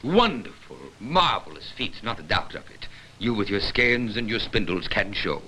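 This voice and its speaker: A man performs a pompous ancient Greek magistrate on stage, sarcastic and scornful. His forceful, bright voice swoops extravagantly through declamatory verse. The recording is old, with noise around the voice. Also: fairly low pitch, big pitch swings, normal speed, low-quality recording. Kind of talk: staged reading of verse drama.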